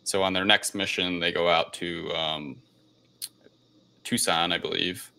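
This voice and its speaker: Gravelly, very low-voiced man chatting. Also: steady tone, a little slow, pushed and bright. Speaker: podcast host recapping a film. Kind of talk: casual film review chat.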